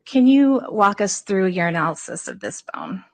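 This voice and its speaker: Soft-spoken woman with a gravelly voice. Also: mid-range voice, very animated, moderate pace. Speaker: prosecutor. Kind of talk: courtroom questioning. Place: courtroom.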